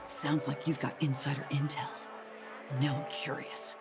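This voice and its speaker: A young security worker in a video game, inquisitive and courteous. His voice sits a little high, with music clearly audible behind it. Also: flat delivery, unhurried. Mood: curious. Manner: curious, courteous.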